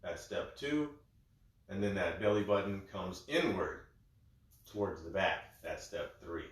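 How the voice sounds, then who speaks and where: A man guiding a breathwork session in a calm, gentle, step-by-step way, a little congested. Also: low-pitched, unhurried. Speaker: breathwork instructor. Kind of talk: guided breathing instruction. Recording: very echoey room.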